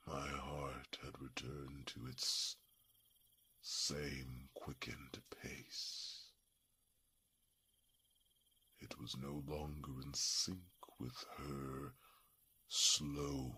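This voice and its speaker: A man playing an ancient, grieving male dragon in an ASMR fantasy roleplay, speaking in a very deep, gravelly, breathy whisper with halting, deliberate phrases. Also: pushed and bright, exaggerated voice. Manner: slow, deliberate, halting phrases with long pauses.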